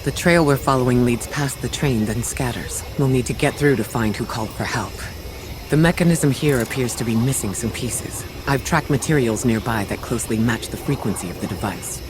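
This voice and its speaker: An actor playing a calm, analytical mission guide and scientist in a video game, speaking in a measured, articulate, earnest way. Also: male voice, mid-range voice, noisy background, close to an everyday voice. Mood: thoughtful concern. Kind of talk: scripted game dialogue. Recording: music behind the voice.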